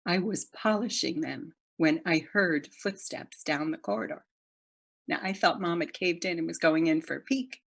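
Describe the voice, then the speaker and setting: An actress playing a guilt-ridden woman in a Zoom play, giving a halting, emotional confession that swings from loud to hushed. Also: on the low side, vocal fry, slightly rough. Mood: hushed regret. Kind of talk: dramatic monologue in a Zoom play. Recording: video call audio.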